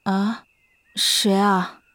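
A woman's voice: medium pitch, flat delivery, very slow, fairly bright tone, no echo. Young disciple girl in boy's disguise, a woman acting, mid-range voice, respectful, inquisitive, a little hesitant, Chinese historical radio play. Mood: respectful, curious. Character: young orphaned female disciple disguised as a boy, polite, curious. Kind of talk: Chinese period audio drama acting.

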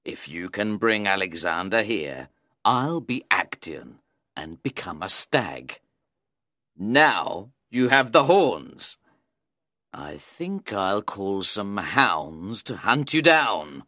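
A woman doing a scheming male sorcerer with a very deep, bright-edged voice that swoops widely. He speaks slowly and grandly, with quiet menace in a dry, close storybook reading. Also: sing-song, no echo, heavily acted. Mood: menacing. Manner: grand, deliberate, slyly threatening.

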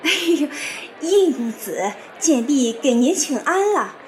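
A woman's voice: high voice, big pitch swings, takes it slowly, a little mellow, a little hiss or hum, heavily acted. A woman playing an obsequious, effusive servant of a pleasure house in a Chinese period audio drama. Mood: fawning. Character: fawning, apologetic female servant of a pleasure house.

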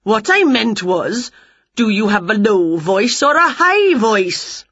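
A woman's voice: mid-range voice, very animated, takes it slowly, fairly bright tone, slightly rough, no room sound, lo-fi sound, voice pushed up, full character voice. A woman voicing a fussy, weary, worried male choir conductor in a children's storybook, flustered and hesitant.

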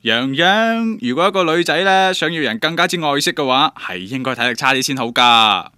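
An actor with a Cantonese accent playing a doting, teasing young boyfriend in a radio drama. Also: male voice, a little high, animated, a little fast, pushed and bright, clean tone, no echo, clean studio sound. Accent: Cantonese, Guangdong.

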